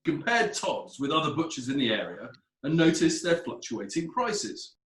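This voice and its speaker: An actor playing an indignant, pompous food inspector giving evidence in a period courtroom play, with emphatic delivery. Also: male voice, fairly high pitch, very animated, moderate pace, a bit bright, echoey room. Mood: pompous, disdainful. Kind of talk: theatrical courtroom play acting.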